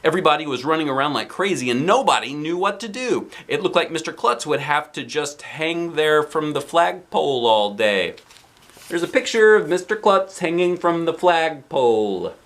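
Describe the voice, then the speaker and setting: Animated man reading a children's story. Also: fairly high pitch, normal speed. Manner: expressive, dramatic. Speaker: storybook reader.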